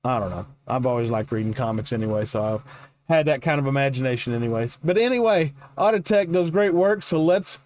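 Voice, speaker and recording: A man's voice: medium pitch, lively intonation, brisk, a little mellow, a little hiss or hum. Older man rambling casually about comics. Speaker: reaction show host. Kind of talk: casual rambling reaction commentary.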